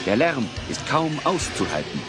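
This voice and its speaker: A man's voice: mid-range voice, sing-song, normal speed. A man giving dramatic scripted narration as the narrator of a German children's audio drama. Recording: loud music and effects bed.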